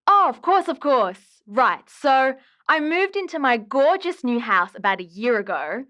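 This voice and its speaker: Audio drama caller: aggrieved, gossipy woman, high and very animated. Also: a little slow, full character voice. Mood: chatty, wistful. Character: exasperated, dramatic woman caller griping about her ghost ex. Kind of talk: audio drama phone-in acting.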